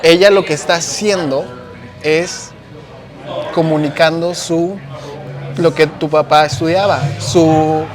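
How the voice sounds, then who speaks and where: A man from Mexico with a Mexican Spanish accent, hosting a casual podcast conversation in an animated, slangy way, often restarting his sentences. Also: fairly high pitch, slow, long pauses, music in the background. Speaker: podcast host.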